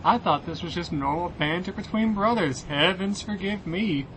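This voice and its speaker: A man's voice: high-pitched, normal speed, fairly bright tone, slight background noise, rough recording. A man playing an exasperated brother on lookout, bantering conversationally in an audio comedy drama. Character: exasperated magic-using brother acting as lookout.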